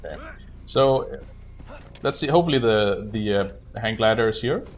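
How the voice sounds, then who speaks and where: A man's voice: lowish voice, animated, normal speed, soft background music. A man doing casual live commentary while playing a game, chatting to viewers as he goes. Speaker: speedrunner streaming live.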